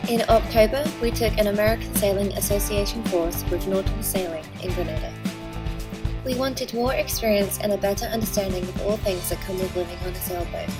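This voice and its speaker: English woman, cheerful vlog narrator, medium pitch, easy laughs. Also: steady tone, fairly quick, loud music behind the voice. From England. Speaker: sailing vlogger narrating.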